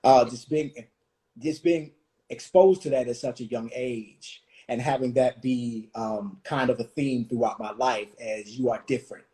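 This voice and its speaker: A man in his forties from Washington, D.C., hosting a reflective conversation, thoughtful and full of pauses and restarts. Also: medium pitch, fairly even intonation, warm tone. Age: 40s. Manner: thoughtful, many fillers and restarts. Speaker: host.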